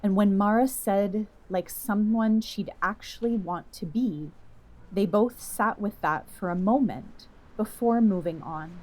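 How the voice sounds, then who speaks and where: A woman reading a scripted literary story as narrator, in a measured, reflective manner. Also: medium pitch, a little mellow, low-quality recording.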